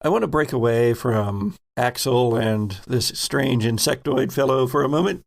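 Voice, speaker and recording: A man narrating a tabletop game as game master, telling the story in a deliberate, suspenseful way. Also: mid-range voice, lively intonation, creaky.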